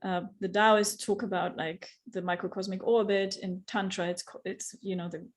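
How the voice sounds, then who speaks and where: A woman guest speaking earnestly about spiritual experience, searching for words and slowing down on key phrases. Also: mid-range voice, fairly even intonation. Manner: earnest, searching, many restarts and fillers. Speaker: guest, yoga teacher and facilitator. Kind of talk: reflective spiritual conversation.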